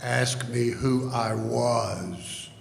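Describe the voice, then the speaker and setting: Man as slow, solemn, tormented ghost. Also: medium pitch, creaky, exaggerated voice. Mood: stern. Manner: solemn, deliberate, declamatory. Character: grave, tormented ghost of male business partner. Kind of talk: dramatic stage reading. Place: large church, live performance. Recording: echoey hall with long reverb.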